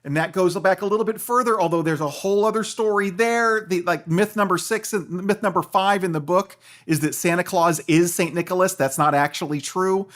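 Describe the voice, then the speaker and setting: A man's voice: high-pitched, a little fast, few pauses, fairly bright tone. An American man speaking as an expert podcast guest, explaining conversationally and animatedly, often checking in with the listener. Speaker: guest expert, philosophy professor and author.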